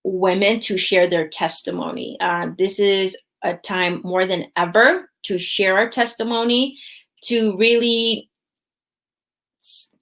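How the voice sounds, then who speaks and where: A woman's voice: mid-range voice, steady tone, slow pace, a bit bright. A woman hosts a faith podcast in a loose, chatty way. Her voice sits in an ordinary middle register, and she keeps checking in with listeners by ending thoughts with "right?". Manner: chatty, often tags lines with "right?". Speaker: podcast host. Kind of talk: casual faith podcast talk.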